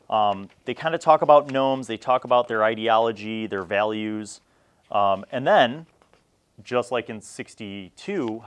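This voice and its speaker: A middle-aged man hosting a casual review, chatting informally and thinking aloud with rambling asides. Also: lowish voice. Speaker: host reviewing old gaming magazines.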